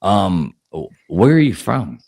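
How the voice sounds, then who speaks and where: A man's voice: low voice, big pitch swings, takes it slowly, vocal fry, darkish tone, slightly rough. A man hosting a casual live chat, teasing his guests with jokes. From not from Texas. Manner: joking, teasing banter. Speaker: host.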